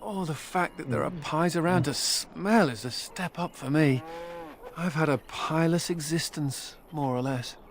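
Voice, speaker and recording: Wry, higher-voiced runaway mage. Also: male voice, measured pace, slight background noise. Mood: wry, musing. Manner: sardonic, glib, self-amused. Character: wry male mage and escaped prisoner, playful yet bitter. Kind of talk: video game character dialogue.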